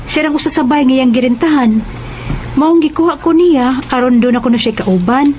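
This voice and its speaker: An actress playing a grateful, eager nineteen-year-old working student daughter in a radio drama. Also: female voice, sits high, normal speed, a little mellow, a little hiss or hum, close to an everyday voice. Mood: hopeful, earnest. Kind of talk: Cebuano radio drama acting.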